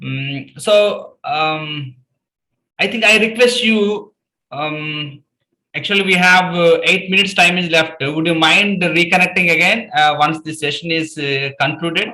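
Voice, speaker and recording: A man hosts a video call in a polite, halting way, filling his pauses with many ums. His voice is bright and slightly high. The room around him rings with echo. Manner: hesitant, polite, many fillers. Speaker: host. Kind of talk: hosting a zoom session.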